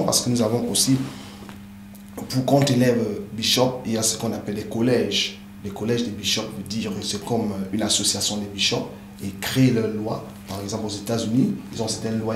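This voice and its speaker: A man who is a pastor and a native English speaker, earnestly explaining things in an interview with many hesitant fillers. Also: on the low side. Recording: echoey room with background noise.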